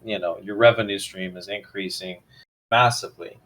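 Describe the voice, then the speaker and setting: A man hosting a casual online crypto chat, relaxed, with frequent ums, trailing off softly. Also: sits low, normal speed, low-quality recording. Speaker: host of crypto investor AMA.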